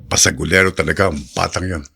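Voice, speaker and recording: An actor playing a calm, protective older father with a deep, husky voice in a Filipino radio drama. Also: fast. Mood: calm, reassuring.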